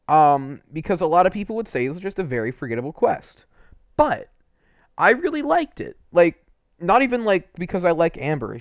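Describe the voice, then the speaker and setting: A man's voice: a little high, no echo, clean studio sound. A young man giving casual, unscripted video game commentary in an informal, enthusiastic way, with some mild swearing.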